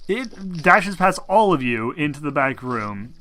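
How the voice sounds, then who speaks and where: A man's voice: a little high, sing-song, a little slow, a crowd in the background. A man running a tabletop game, narrating casually and informally. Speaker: game master.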